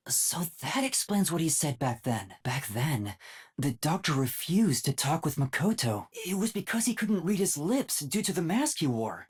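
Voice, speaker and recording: A man's voice: fairly high pitch, husky. A man voicing an earnest, timid young male detective in a video game, with a light boyish voice and a hesitant, thoughtful way of thinking aloud. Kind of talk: video game voice acting, investigative monologue.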